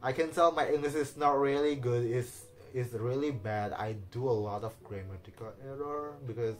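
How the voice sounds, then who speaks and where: Soft-spoken man, non-native English. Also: mid-range voice. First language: Indonesian. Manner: halting non-native English with many fillers and restarts, soft nervous laughs. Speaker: vlogger. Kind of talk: casual personal vlog talk.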